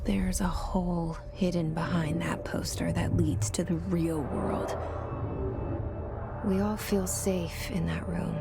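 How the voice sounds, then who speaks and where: Loud music plays around a guarded young woman's inner monologue in a video game. Her voice sits lowish and half-whispered, drifting slowly as if confiding a secret. The delivery is introspective and brooding. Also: little pitch movement, creaky. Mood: grave, hushed. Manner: slow, hushed, confiding. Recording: music under the voice. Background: loud background music.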